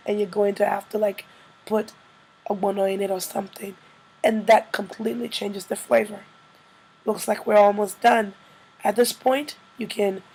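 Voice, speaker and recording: A woman with a slightly hoarse, congested-by-a-cold voice, narrating a casual cooking tutorial as a vlogger. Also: mid-range voice, darkish tone, low-quality recording.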